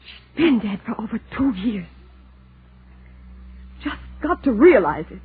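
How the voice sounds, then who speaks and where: An actress playing a plain-spoken, concerned neighbor woman with a husky voice in a 1940s radio drama. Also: fairly high pitch, very animated, a little slow, full character voice. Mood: pleading, insistent. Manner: chatty, earnestly insistent. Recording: dull lo-fi 1940s radio recording.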